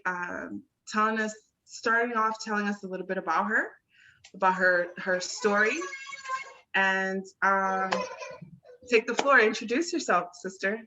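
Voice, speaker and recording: Slow bright-voiced woman host. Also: mid-range voice. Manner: slow and affectionate, calls guest sister. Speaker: interview host. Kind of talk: casual interview hosting. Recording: dull lo-fi sound.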